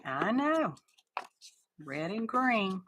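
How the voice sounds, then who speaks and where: Slow-talking, bright-voiced middle-aged woman. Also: medium pitch, sing-song, slight background noise. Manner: chatty, rambling, talks to chat viewers. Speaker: craft live-stream host. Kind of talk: casual chat while crafting on a live stream.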